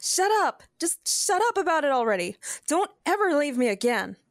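A woman's voice: sits high, very animated, normal speed, no echo, clean studio sound. A woman playing a feisty, stubborn young sci-fi heroine in love, bossy and emotional, in an audio drama. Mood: upset, defiant.

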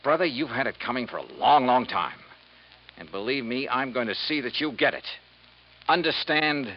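An actor playing a tough, righteous insurance investigator in a 1950s radio drama, blunt, wry and punchy. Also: male voice, mid-range voice, normal speed, husky, lightly acted. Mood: scornful, firm. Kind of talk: 1950s radio detective acting. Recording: muffled 1950s radio recording.

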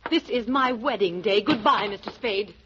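An actress playing a haughty, indignant bride in an old radio drama, curt and formal in manner. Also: female voice, mid-range voice, moderate pace, loud music behind the voice, natural voice. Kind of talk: 1940s radio drama acting. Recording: noisy, muffled old radio recording.

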